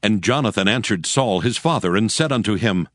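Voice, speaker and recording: A man's voice: lowish voice, expressive, brisk, a bit bright, no echo, clean studio sound. A man giving a solemn, scripted dramatic narration of scripture in a storytelling manner. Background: music.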